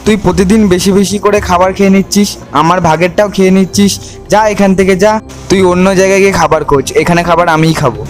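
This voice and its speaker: Man as a high-pitched, fast-talking quarrelsome ox. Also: steady tone, faint music behind, lightly acted. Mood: angry bickering. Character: quarrelsome young male ox, selfish about food. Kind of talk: puppet story character acting.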